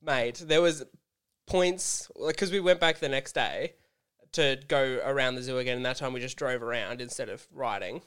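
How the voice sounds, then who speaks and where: Australian guy in his 30s, slightly hoarse yet resonant, animated storyteller, swears casually. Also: mid-range voice, normal speed. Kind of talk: casual chat.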